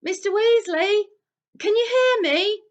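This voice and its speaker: Brief: anxious young boy wizard, earnest and urgent, high-pitched, read by a woman. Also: lively intonation, takes it slowly, a bit bright, highs cut off, exaggerated voice.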